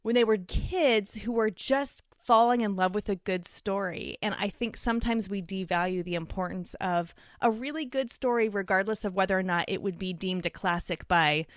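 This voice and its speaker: A woman speaking conversationally as a podcast guest, explaining things in an animated way. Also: medium pitch, normal speed, few pauses, no echo, clean studio sound. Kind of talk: conversational interview.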